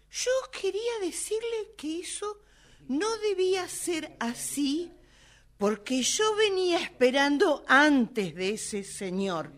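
A woman acting a hot-tempered, impulsive, emotional Argentine woman in an amateur radio play, haltingly excusing her rages and repeating words when flustered. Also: sits high. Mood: indignant, wound up.

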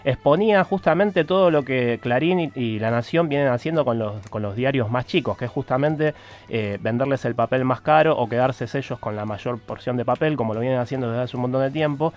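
A man's voice: mid-range voice, a little fast, darkish tone, soft background music. A man with an Argentine Spanish accent giving political commentary as a radio panelist, in a dull lo-fi recording.

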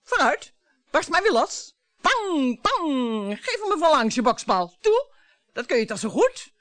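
A woman's voice: high-pitched, sing-song, a little slow, fairly bright tone, dry recording, full character voice. A woman acting a long-suffering but defiant middle-aged wife and mother in a Dutch radio play, pointed and challenging. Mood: goading, bitter.

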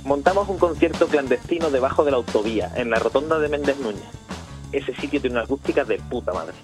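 Un hombre con acento andaluz, miembro de un grupo, que recuerda un concierto con lenguaje coloquial. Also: male voice, mid-range voice, expressive, quick pace, music in the background. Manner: casual, slangy recounting. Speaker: band member recounting events. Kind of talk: documentary-style testimony.

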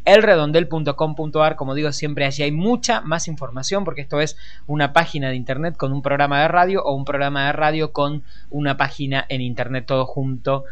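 Smooth, higher-voiced Argentine man hosting radio. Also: brisk, highs cut off. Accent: Argentine Rioplatense. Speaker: radio host. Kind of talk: conversational radio news talk.

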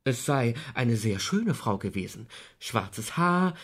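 An actor playing an earnest, troubled man under suspicion in a radio drama, with a husky voice and insistent delivery. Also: medium pitch, very animated, slow, full character voice.

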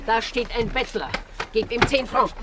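A woman playing an imperious, capricious rich old aunt in a radio drama, commanding and repeating words in excited bursts. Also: a little high, normal speed, a crowd in the background, natural voice. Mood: bossy, generous. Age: older.

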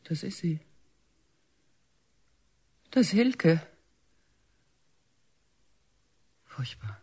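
Husky, severe, scornful mother. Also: fairly low pitch, lively intonation, slow pace, mellow, exaggerated voice. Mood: appalled. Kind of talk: German radio drama acting.

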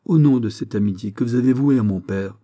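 A young nobleman in love and in anguish, as a male reader plays him. He speaks politely and earnestly, with melodramatic pleading. The timbre is soft and very dark, as in a storybook reading. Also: lowish voice, moderate pace, slightly rough. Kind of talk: dramatised audiobook of a historical novel.

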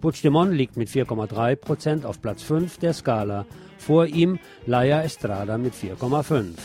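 A man's voice: medium pitch, animated, normal speed. Male news presenter, mid-range voice, scripted reporting with short asides, faint music behind.

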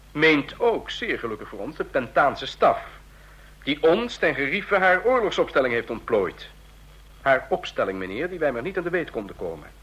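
An actor in a radio play playing a stern, condescending ambassador of a fascist state, speaking in formal, measured officialese. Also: male voice, on the high side, fairly bright tone, heavily acted, low-quality recording. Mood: displeased, sardonic. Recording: clear hum and noise, old radio recording.

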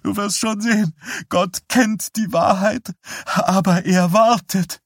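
A male narrator puts on a fanatically religious wife in an audiobook story. The voice sits far higher than natural male talk, sanctimonious and wounded, like a martyr who will not be contradicted. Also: fairly even intonation, slow pace, no room sound, studio quality, full character voice. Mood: tearful, defiant. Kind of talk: dramatised audiobook dialogue.

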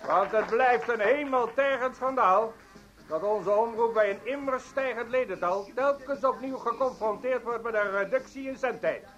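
An actor in a radio drama playing a pompous, indignant broadcasting official declaiming a speech. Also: male voice, extremely high pitch, moderate pace, fairly bright tone, a little hiss or hum. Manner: pompous, oratorical. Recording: muffled, lo-fi radio playback.

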